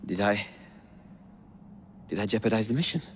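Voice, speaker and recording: An actor playing an earnest, gentle, anxious young man assassin in a video game cutscene. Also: on the low side, animated, moderate pace, slight background noise, natural voice. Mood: anxious, apologetic.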